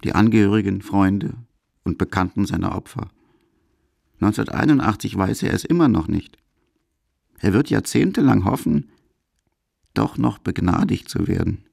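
Low, creaky male documentary narrator. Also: moderate pace, long pauses, a little mellow, no room sound, studio quality. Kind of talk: scripted radio documentary narration.

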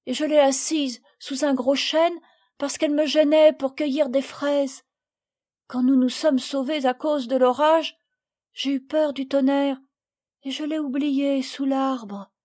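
A woman voicing a small girl who sobs and wails in a high, tearful voice in an audiobook reading. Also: fairly even intonation, measured pace, warm tone. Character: small girl, sobbing and desperate.

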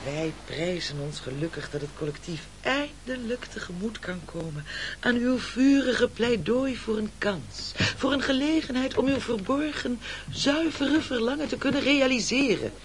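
An actor playing an unctuous, manipulative castaway orator in a radio play, grandiloquent and ingratiating. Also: male voice, very high voice, lively intonation, normal speed, slight background noise. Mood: rising fervour. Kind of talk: theatrical radio play acting.

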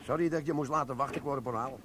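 A man's voice: medium pitch, rapid-fire, echoey room, noisy background, natural voice. A man playing a sly old army sergeant. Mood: scheming. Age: 60s. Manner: sly, stammering, chuckling. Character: older army sergeant, sly, boastful schemer. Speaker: actor in radio play. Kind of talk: radio play acting.

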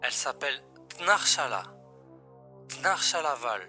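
Piercingly bright-voiced boss played by a man. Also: medium pitch, lively intonation, unhurried, faint music behind. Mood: wry, explaining. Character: male boss briefing his agent, urgent and wry. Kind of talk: French science-fiction audio drama acting.

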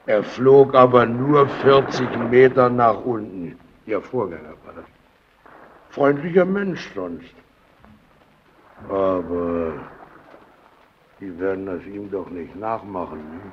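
A slow, taunting bully boss. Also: male voice, on the low side. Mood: taunting, sly. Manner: needling, insinuating, mock-friendly, swinging from shouts to mutters. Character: menacing, taunting male superior bullying a timid underling. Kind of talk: German radio play acting. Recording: dull, noisy old radio play recording.

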